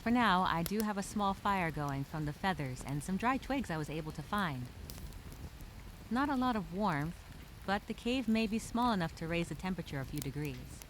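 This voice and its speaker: A woman voicing a resilient, chatty female castaway telling a survival diary, expressive and talking to herself. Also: on the low side, normal speed, a little hiss or hum, natural voice, rough recording. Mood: practical, musing. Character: stranded castaway woman journaling, resilient, chatty, wryly upbeat. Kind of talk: first-person diary storytelling, acted.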